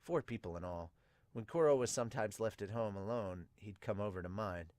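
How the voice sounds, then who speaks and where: Man voicing a low, withdrawn deadpan teenage boy. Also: brisk, no echo, natural voice. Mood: reflective. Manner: low-key, muttering, reluctant. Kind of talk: manga dub narration and dialogue.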